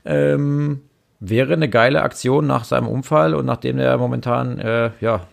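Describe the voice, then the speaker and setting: A man co-hosting a motorsport podcast, talking loosely and at length, his sentences trailing off and restarting around fillers. His voice has a gravelly, creaky edge and sits in a middle pitch. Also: a little slow. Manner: rambling, animated, many fillers. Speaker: podcast co-host, former racing driver. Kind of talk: casual chat.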